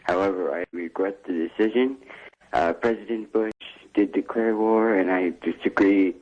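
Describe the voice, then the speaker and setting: Low man on dull phone line. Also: moderate pace. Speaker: phone-in caller. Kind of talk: stated opinion on a phone call. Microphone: telephone.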